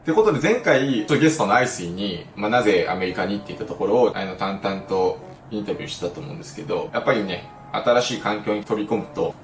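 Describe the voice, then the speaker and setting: A man's voice: on the low side, animated, a little hiss or hum. Echoey male Japanese interview host. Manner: halting, searching for words in English. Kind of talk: casual online interview. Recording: distant, echoey, with faint music behind.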